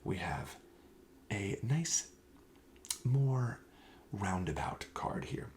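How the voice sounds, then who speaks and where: A man speaking softly in an ASMR shop-clerk roleplay, often dropping to a breathy whisper, with a gentle, explanatory sales manner. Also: on the low side, very animated, very slow, a little hiss or hum. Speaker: host playing a card shop clerk in a roleplay. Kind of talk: soft-spoken ASMR roleplay.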